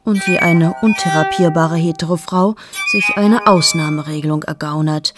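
A woman's voice: mid-range voice, lightly acted. An actress in her thirties playing a cold, self-absorbed journalist in a radio drama monologue, talking straight to the listener and often dropping into a whisper. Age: 30s. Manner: shifts into hushed whispers, addresses the listener directly. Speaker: monologue speaker.

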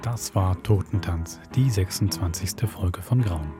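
A man with a Swiss accent hosting a podcast, reading a spooky story aloud in a measured storytelling manner. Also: deep voice, over music. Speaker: podcast host.

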